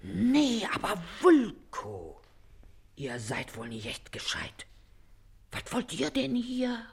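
An actress playing a shrewd, bossy working-class mother in middle age in a radio play, scolding briskly with a Berlin accent. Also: medium pitch, huge pitch swings, vocal fry, husky, full character voice. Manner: brisk, scolding, plain-spoken. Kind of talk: radio play dialect comedy acting.

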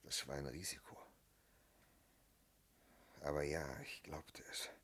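Dying man, faint deep whisper. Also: fairly even intonation, a bit bright, heavily acted. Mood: weak, resigned. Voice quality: weak, breathy whisper. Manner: halting, faltering, broken phrases. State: badly hurt, failing strength. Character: dying, remorseful man confessing in weak gasps. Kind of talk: German radio play acting.

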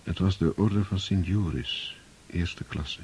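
A man narrating a radio play in a measured, solemn way. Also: low-pitched, darkish tone, faint music behind.

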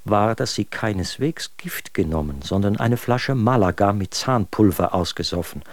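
A man plays an exasperated writer reading out his letters in a radio drama. His voice sits fairly low in a very dry studio sound, and he recounts his troubles with articulate, sardonic scorn. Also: animated, normal speed, no room sound, close to an everyday voice. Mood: scornful, sardonic.

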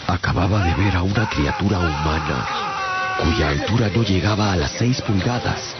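A man narrating a radio drama as a traveller recounting his adventure, in a theatrical, deliberate storytelling manner. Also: sits low, close to an everyday voice. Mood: wondering. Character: adult male traveller recounting his strange captivity. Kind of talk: dramatised first-person audiobook narration. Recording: dull radio audio over clear music.

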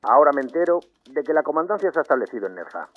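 An actor playing a courteous, grave navy minister in a historical audio drama, formal and polite over the telephone. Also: male voice, fairly high pitch, very animated. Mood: courteous, relieved. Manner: formal, measured wording, polite. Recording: muffled telephone line.

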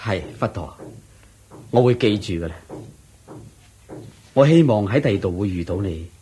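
An actor playing a humble, troubled poor layman in a radio drama, husky-voiced, with a deferential, hesitant way of talking. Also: on the low side, expressive, normal speed, dark tone, slight background noise, natural voice. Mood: humble, earnest. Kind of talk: Cantonese radio drama acting.

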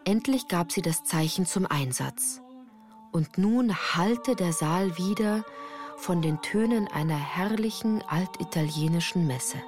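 A woman's voice: lowish voice, unhurried, darkish tone, soft background music. A woman reading scripted first-person literary narration for a radio play in a composed, articulate storytelling manner.

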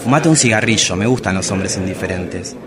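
A man's voice: deep voice, expressive, a little fast, a loud crowd. A man playing a self-dramatizing, bawdy travesti poet with an Argentine accent in a radio drama, theatrical, provocative and tender. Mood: teasing, seductive. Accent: Argentine Spanish. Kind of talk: radio drama monologue and dialogue.

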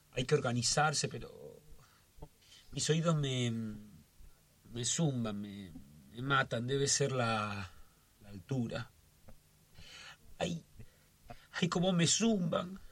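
Fretful, bright-voiced neurotic man. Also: mid-range voice, animated, takes it slowly, long pauses, dry recording, heavily acted. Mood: panicky. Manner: fretful, histrionic, muttering and exclaiming. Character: nervous, fussy, self-dramatizing man obsessed with order. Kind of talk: radio drama acting, talking to himself.

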